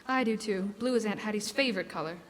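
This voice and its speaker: A woman's voice: medium pitch, fast talker, natural voice. A woman playing a chatty, easily flustered motherly shopper in a classic-style radio play, with theatrical delivery. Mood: chatty, pleasant. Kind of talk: live stage radio drama in classic style. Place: stage in a hall, live performance. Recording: echoey stage hall recording.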